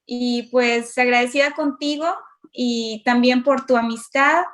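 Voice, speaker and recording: A woman with a northern Mexican accent giving a personal testimony as an interview guest on a video call, with hesitant pauses. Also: fairly high pitch, steady tone, a bit bright. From Matamoros, northern Mexico. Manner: hesitant, pausing. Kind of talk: personal testimony, conversational storytelling.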